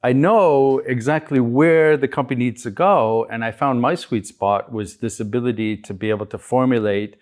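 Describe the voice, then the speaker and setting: A middle-aged American man from Chicago, a guest telling his story in a relaxed interview, with many restarts. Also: medium pitch, moderate pace, few pauses. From United States, Chicago. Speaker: guest entrepreneur. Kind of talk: conversational interview.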